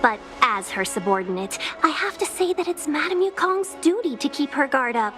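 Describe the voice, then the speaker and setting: A woman's voice: high-pitched, lively intonation, moderate pace, music in the background. A woman playing a courteous, poised young female envoy in a video game, speaking politely and formally. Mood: reassuring, explanatory. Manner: polite, formal, smoothly hospitable. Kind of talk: video game character dialogue.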